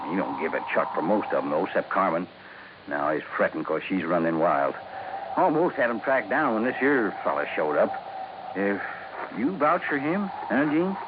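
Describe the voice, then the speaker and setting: A man's voice: deep voice, very animated, normal speed. An actor playing a crusty, folksy marshal in his sixties with a frontier drawl, in old radio drama style. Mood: folksy, concerned. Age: 60s. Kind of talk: 1950s radio drama acting. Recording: muffled old radio broadcast, noisy background.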